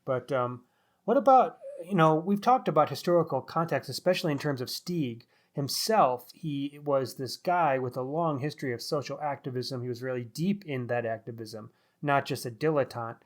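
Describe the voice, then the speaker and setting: A man co-hosting a book discussion in a casual, musing, conversational way. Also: medium pitch. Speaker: podcast co-host reviewing crime novels.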